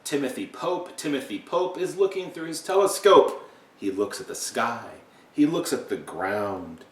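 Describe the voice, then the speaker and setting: A man reading a children's picture book aloud with asides, talking directly to young listeners in an animated way. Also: medium pitch, a little slow, a little hiss or hum. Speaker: read-aloud storybook reader. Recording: echoey room, distant mic.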